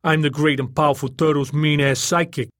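A man's voice: medium pitch, normal speed, no room sound, studio quality, pitched above the performer's own voice, natural voice. A man voicing a cocky, foul-mouthed male sidekick in an audiobook, brash and mocking. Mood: cocky, cheerful. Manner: brash, sneering. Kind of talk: audiobook character acting.

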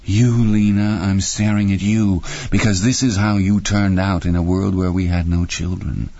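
A man's voice: low voice, level tone, normal speed, dark tone. A man voicing a meek, brooding, henpecked husband in an audiobook, guilt-ridden and hesitant. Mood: bitter. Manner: muted, hesitant murmuring. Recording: roomy, slightly dull audio.

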